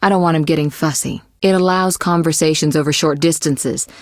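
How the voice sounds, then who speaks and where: A woman playing a poised, worldly young sorceress in a video game, caring but teasing and possessive, with measured, articulate delivery. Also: deep voice, no echo, studio quality, lightly acted. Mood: serious, instructive. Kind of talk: scripted video game voice acting.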